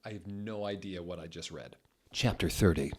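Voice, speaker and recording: A man giving a theatrical, mock-serious dramatic reading of comic fan fiction in a deliberate narrator's manner. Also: sits low, a little mellow, slightly rough.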